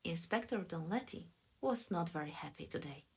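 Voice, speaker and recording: A woman from Poland reading scripted audiobook narration as a voice actress, in a soft, deliberate storytelling manner. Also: fairly low pitch, animated, husky.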